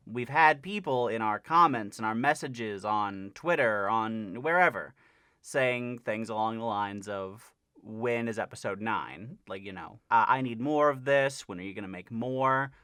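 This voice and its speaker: A man's voice: mid-range voice, unhurried, sharp tone, no room sound, studio quality. A man talking casually as a channel host, explaining an update in a conversational way with stressed key words. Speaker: host of a parody dub channel giving an update.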